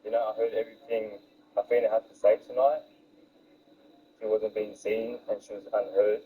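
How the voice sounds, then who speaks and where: A man's voice: lowish voice, little pitch movement, moderate pace, slightly rough, phone-quality sound. A man talking informally and earnestly, as in a frank relationship discussion.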